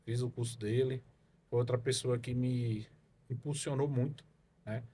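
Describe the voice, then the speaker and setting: A man's voice: medium pitch, steady tone, normal speed, warm tone, slight background noise. Rambling man telling his story. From Salvador, Bahia, Brazil. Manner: rambling storyteller, many fillers and tag questions. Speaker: guest, car evaluation consultant. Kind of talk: casual podcast interview.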